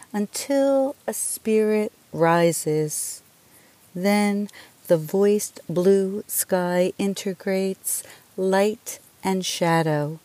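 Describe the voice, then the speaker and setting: A woman poet reciting her own verse solemnly, with deliberate, dramatic phrasing. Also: on the low side, warm tone, no room sound. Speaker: poet reading own poems.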